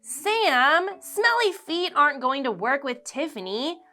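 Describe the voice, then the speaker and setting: A cheerful little schoolgirl bubbles with encouragement, as a woman reading aloud puts her on. Her voice sits high and springy, leaping up with excitement. She is the eager, helpful friend of a children's storybook. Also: measured pace, soft background music, heavily acted. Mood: encouraging.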